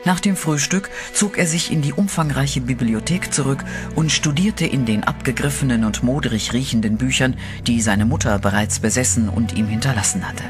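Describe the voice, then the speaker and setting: A man narrates a horror radio play in a steady, dramatic manner. His voice sits in a middle range, and loud music runs beneath the telling. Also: medium pitch, normal speed.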